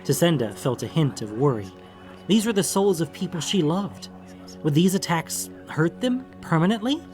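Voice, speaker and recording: A man's voice: on the high side, lively intonation, normal speed, a little mellow. A man reading a scripted fantasy story as an audiobook narrator, in a dramatic storytelling style. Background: background music.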